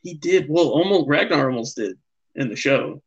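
A young man co-hosting a casual podcast chat, talking haltingly with many fillers and restarts. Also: fairly high pitch, lively intonation, breathy edge, dull audio. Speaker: podcast co-host.

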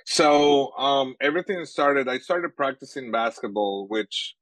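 A young Venezuelan man, a native Spanish speaker, answering interview questions about his sports journalism work, pausing often with fillers and restarts. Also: mid-range voice, expressive, a bit bright, dry recording, poor audio. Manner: halting, many fillers and restarts.

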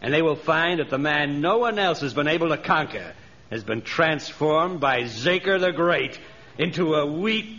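An actor playing a boastful, scheming villain who poses as an all-powerful magician in a pompous 1930s radio acting style. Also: male voice, on the high side, expressive, normal speed, pushed and bright. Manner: pompous, theatrical, menacing. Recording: muffled, noisy 1930s radio recording.

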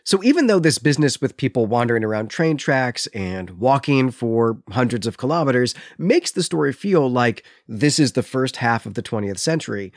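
A man's voice: mid-range voice, animated, normal speed, hardly any pauses, no room sound, clean studio sound. A man co-hosting a literary podcast, recapping a story in an orderly, explanatory way. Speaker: podcast co-host.

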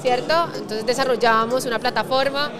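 Woman, 30s, Colombian accent, high voice, chatty interview guest, Spanglish terms, music and crowd behind. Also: fairly even intonation, fairly quick. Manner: chatty, mixes English business terms. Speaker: guest, startup founder. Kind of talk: casual interview talk.

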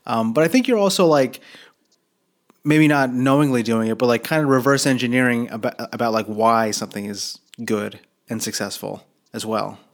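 A man's voice: mid-range voice, moderate pace. A man interviewing a singer in a casual chat, hesitant and rambling with many fillers.

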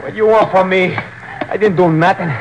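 Actor as shrill, panicky young male fugitive. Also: steady tone, moderate pace, close to an everyday voice, poor audio. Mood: protesting. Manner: agitated, protesting, broken English. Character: defiant, panicky young Mexican fugitive with a knife. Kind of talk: 1950s radio drama acting. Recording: old radio recording with music.

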